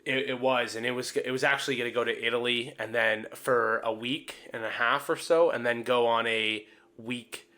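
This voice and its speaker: Bright-voiced man in casual podcast chat. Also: mid-range voice, fairly even intonation, normal speed, few pauses. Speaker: podcast participant.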